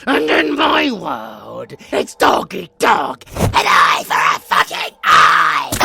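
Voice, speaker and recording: An actor voicing a gleefully deranged, bloodthirsty immortal vampire monster in a horror audio roleplay, gravelly and growling, foul-mouthed and taunting. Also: medium pitch, huge pitch swings, drawn-out words, slight background noise, exaggerated voice. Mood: manic bloodlust. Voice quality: gravelly, growling, breathy cackles. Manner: foul-mouthed, taunting, manic, swinging from whispers to shouts.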